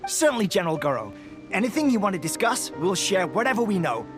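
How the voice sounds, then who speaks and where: An actor playing a cheerful, devoted young trooper in a video game, speaking frankly and respectfully. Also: male voice, sits high, very animated, quick pace, music in the background. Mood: easygoing, frank.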